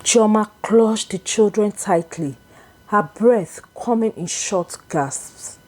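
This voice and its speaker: A woman narrating a scripted folktale in a deliberate, expressive, dramatic storytelling manner. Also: medium pitch.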